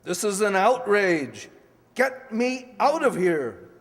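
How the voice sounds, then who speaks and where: A man's voice: sits high, big pitch swings, slow, full character voice. A man playing an outraged vicar calling out for rescue in an amateur radio play. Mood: outraged, desperate. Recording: reverberant, distant-sounding radio play recording.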